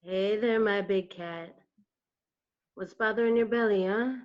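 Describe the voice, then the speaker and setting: A woman acting a tender stage-play caretaker, slow and coaxing, with a folksy, soothing manner. The sound is a slightly dull video-call recording. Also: medium pitch, close to an everyday voice. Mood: soothing, concerned.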